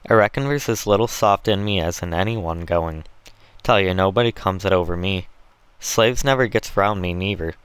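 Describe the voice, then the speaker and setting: A man's voice: deep voice, normal speed, fairly bright tone, clean tone, no room sound. A man voicing a coarse, boastful Southern slave owner in an audiobook, with blunt, folksy dialect.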